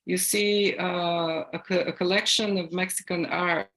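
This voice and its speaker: Halting woman academic on a video call. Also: fairly low pitch, steady tone, a bit bright. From Bulgaria. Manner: halting, many fillers and restarts. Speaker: guest speaker.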